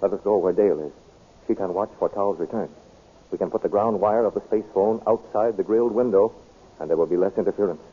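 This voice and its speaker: A resolute captive leader in a 1930s radio adventure, giving earnest, commanding orders. The voice is fairly low and husky, heard through a narrow, tinny old recording. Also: male voice, quick pace. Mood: urgent. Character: urgent, resolute captive hero plotting an escape.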